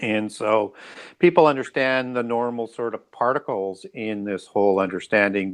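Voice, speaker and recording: A man with a fairly low voice talks as the guest on an interview show. He lays out explanations step by step, in a halting manner, piecing each thought together as he goes.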